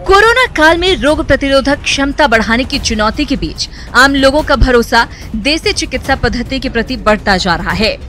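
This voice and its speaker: A woman's voice: high voice, animated, normal speed, few pauses, fairly bright tone. A woman narrating scripted news reports as a broadcast voice-over. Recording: news music behind. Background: background music.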